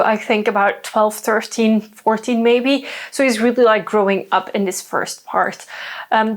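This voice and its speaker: Young woman, native Dutch speaker, for a casual book chat full of asides, slightly higher voice. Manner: chatty, many fillers and asides. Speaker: book reviewer. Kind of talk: casual book review talk.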